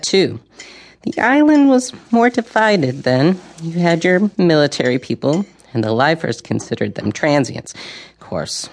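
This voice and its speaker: Deep, gravelly old island woman. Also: full character voice. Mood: dry, reminiscing. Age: older. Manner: knowing, dryly opinionated. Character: sharp, gossipy old woman, long-time islander. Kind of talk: audio drama dialogue.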